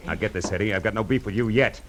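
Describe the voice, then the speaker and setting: An actor playing a tough, cool-headed private detective in 1940s radio noir style, with wry, clipped slang. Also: male voice, lowish voice, fast, background noise, lightly acted. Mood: firm, forceful. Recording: old radio recording with music behind.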